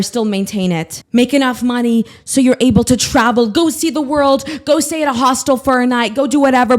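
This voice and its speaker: Young woman, twenties, solo podcast host, rambling, sweary, self-mocking, all over the place. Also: fairly high pitch. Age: 20s. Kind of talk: casual solo podcast monologue.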